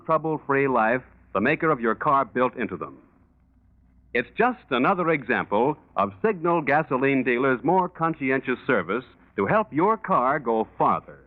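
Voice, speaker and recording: A tinny vintage broadcast carries a male American announcer with a mid-range voice. He reads scripted 1940s sponsor copy with animated rises and falls. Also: mid-range voice. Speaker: radio announcer and sponsor pitchman. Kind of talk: 1940s radio advertising, scripted. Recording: tinny 1940s radio recording.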